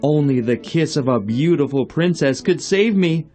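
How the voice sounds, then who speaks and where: Man as a mellow, high-voiced storybook prince. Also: lively intonation, normal speed, faint music behind, lightly acted. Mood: grateful. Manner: earnest, courtly. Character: young, grateful, noble fairy-tale prince. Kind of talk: storybook voice acting.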